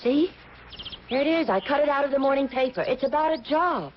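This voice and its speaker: A woman's voice: sits high, brisk, natural voice. An actress playing a polite but firm, eager female job seeker in a radio drama, proper and earnest. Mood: firm, defensive. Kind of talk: old-time radio drama acting. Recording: lo-fi old radio recording with hiss.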